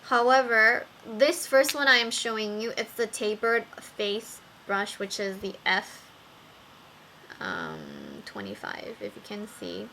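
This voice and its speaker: A young woman reviewing makeup brushes in a casual vlog, talking slowly with many ums while her voice wears out. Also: medium pitch, big pitch swings, bright, forceful tone, a little hiss or hum, rough recording. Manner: slow, with many ums and fillers. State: losing her voice. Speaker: beauty vlogger.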